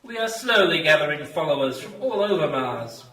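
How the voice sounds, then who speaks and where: A man's voice: on the high side, very animated, moderate pace, a bit bright, recorded from a distance. A man playing a zealous cultist in an amateur science fiction radio play, declaiming fervently about the order. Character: zealous male cultist plotting expansion. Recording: roomy, echoing, off-mic.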